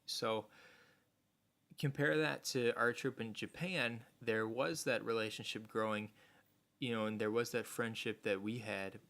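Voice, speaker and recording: A man from the United States hosting a podcast, explaining things in a relaxed, rambling way. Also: on the low side, unhurried. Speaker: podcast host. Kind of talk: casual chat.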